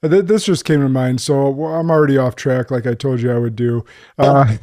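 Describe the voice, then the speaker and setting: A man's voice: medium pitch, fairly even intonation, fairly quick, warm tone. A man hosting a podcast, asking loose, rambling questions and often correcting himself. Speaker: podcast host. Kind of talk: casual interview questions.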